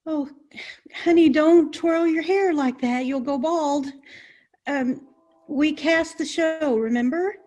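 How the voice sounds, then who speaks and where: Actress as high-pitched exasperated bossy theater woman. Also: slow, a little mellow. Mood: coaxing, concerned. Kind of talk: comedic web series acting.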